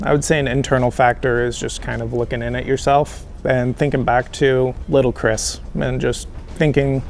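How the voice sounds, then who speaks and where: A friendly man answers interview questions in an easy, conversational way, pausing with ums along the way. His voice sits at an ordinary pitch over faint background noise. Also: gravelly. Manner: friendly, relaxed, frequent ums and light laughs. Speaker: healthcare recruiter, interview guest.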